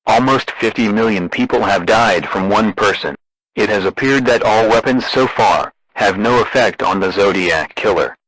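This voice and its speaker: Deadpan robotic male alert announcer, low-pitched. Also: moderate pace, no room sound, rough recording. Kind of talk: scripted emergency broadcast announcement.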